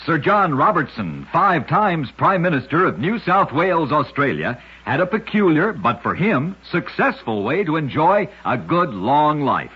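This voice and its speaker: A man hosting an old-time radio show, narrating short scripted oddity tales in a husky, rough-edged voice. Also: on the high side, big pitch swings, measured pace, hardly any pauses. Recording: dull, lo-fi old radio recording.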